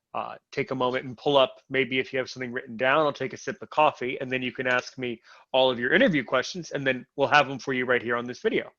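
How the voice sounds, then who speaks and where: An American man talking in a casual interview. He is animated, repeats and restarts his words when he gets excited, and comes through a video call. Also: medium pitch. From United States. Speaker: interviewee and show host. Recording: lo-fi online call audio.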